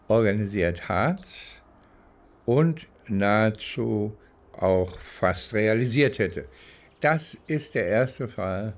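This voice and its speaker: An older man reminiscing without a script, speaking deliberately and often searching for his words. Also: fairly low pitch. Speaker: interviewee recounting criminal cases. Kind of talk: unscripted reminiscing in a documentary.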